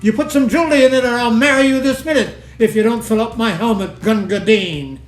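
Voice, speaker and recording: A man's voice: very high-pitched, a little fast. A man reciting poetry theatrically, in the colloquial manner of an old-time soldier. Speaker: poetry reader. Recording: roomy echo, faint music behind.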